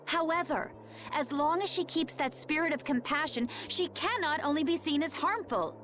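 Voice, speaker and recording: A woman playing a composed, resolute young warrior woman in a video game, speaking in a poised, measured, earnest way. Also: high-pitched, fairly bright tone, a little hiss or hum. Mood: earnest resolve.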